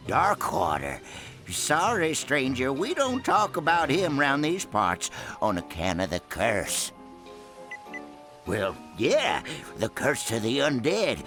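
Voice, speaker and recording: An actor playing a timid, folksy rustic islander in a video game, hesitant and rambling. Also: male voice, medium pitch, big pitch swings, slow, music in the background. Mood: wary, muddled. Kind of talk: animated video game cutscene acting.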